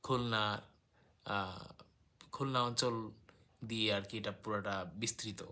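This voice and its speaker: A man explaining things informally in a discussion, hesitant and agreeable, with frequent filler pauses. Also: on the low side, fairly even intonation, bright, forceful tone. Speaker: talk participant.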